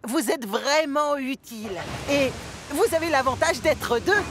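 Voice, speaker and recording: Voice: high-pitched, measured pace, heavy background noise. An actor voicing a kind, cheeky young male tank engine in a children's cartoon, direct and reassuring.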